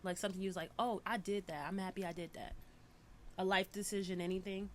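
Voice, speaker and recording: A woman's voice: mid-range voice, fast, a little hiss or hum. A woman in her thirties hosting a podcast, chatting casually and telling stories animatedly. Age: 30s. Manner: animated storyteller, swings from soft musing to loud excitement. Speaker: podcast host.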